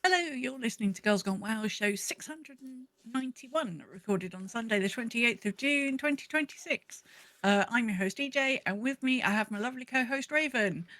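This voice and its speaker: A woman's voice: a little high, moderate pace, a bit bright, no room sound. Woman hosting a chatty podcast. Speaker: podcast host. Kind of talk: casual chat.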